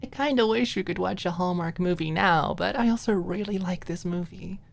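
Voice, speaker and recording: A woman's voice: lowish voice, moderate pace, creaky, pitched above the performer's own voice. A woman voicing a playful, teasing young college woman in an audiobook, with casual, bantering delivery. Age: young adult.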